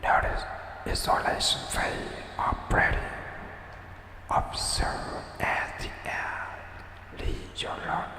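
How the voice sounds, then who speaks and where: A man whispering a guided breathing meditation in a slow, soothing way, leaving long pauses. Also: bright, forceful tone. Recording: echoey room with long reverb.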